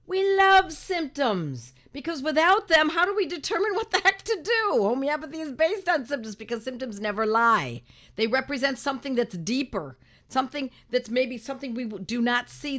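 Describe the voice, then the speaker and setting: An older woman with a raspy voice from a cold, teaching homeopathy in an animated, emphatic casual talk, often repeating her points. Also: high voice. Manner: animated and emphatic, repeats phrases, talks to viewers as friends. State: hoarse from a cold. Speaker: homeopathy teacher hosting her own channel.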